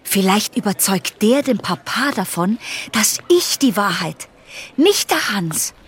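A woman's voice: medium pitch, big pitch swings, slow, slightly rough, exaggerated voice. An actress playing a restless, defiant teenage girl musing to herself in a radio drama monologue. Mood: brooding, calculating.

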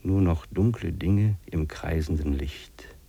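Radio play lead: reflective, weary male wanderer, deep creaky husky voice, poetic delivery. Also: takes it slowly, warm tone, exaggerated voice. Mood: solemn, reflective. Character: weary, dreamy male wilderness hiker, reflective. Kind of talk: 1950s German radio drama acting.

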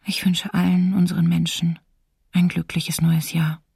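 Radio drama: wistful young woman diarist, breathy, subdued, even intonation. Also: fairly low pitch, measured pace, no room sound, studio quality, distant mic, heavily acted. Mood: wistful wishes. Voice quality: breathy, husky. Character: young female student writing a wartime diary, wistful, hopeful. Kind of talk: radio docudrama diary reading.

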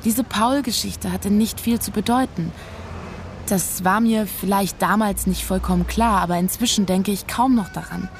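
A woman's voice: mid-range voice, normal speed, slight background noise, lightly acted. An actress playing a detached, unsentimental woman who recounts her past in a dry, matter-of-fact way, as literary radio drama narration.

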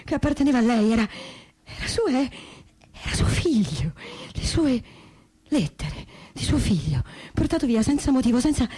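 A granddaughter remembers family sorrow in a theatrical testimony. Her voice is rather high, mellow and noticeably breathy-rough. She speaks conversationally, stumbling over repeats as she searches for words. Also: very animated. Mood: pained, sorrowful. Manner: halting, repetitive, searching for words.

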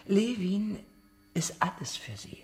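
An actor playing a relentless, accusing interrogator in a German radio drama, speaking in short pointed phrases. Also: male voice, high-pitched, expressive, takes it slowly, a little mellow, soft background music. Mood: probing, controlled.

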